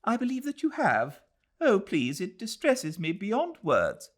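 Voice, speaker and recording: A man plays an elderly, well-bred English lady in a storybook reading. The voice is lifted high and swoops widely, courteous, earnest and eager, with a British accent. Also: wildly swooping pitch, a little slow, heavily acted. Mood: distressed, pleading.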